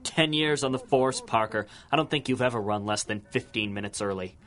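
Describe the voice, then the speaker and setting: Man acting a crooked cop, cynical, mocking, menacing, folksy street slang, medium pitch, 1930s radio drama. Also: fairly quick, noisy background, lightly acted. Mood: wary, needling. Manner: folksy taunting tough-guy slang.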